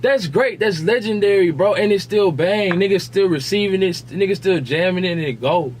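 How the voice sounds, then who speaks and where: A man with a fairly high voice and an American accent chats and argues as a podcast co-host. His talk is full of slang and swearing. The recording carries faint noise and hum behind him. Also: moderate pace. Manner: slangy, profane, argumentative. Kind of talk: casual chat and debate.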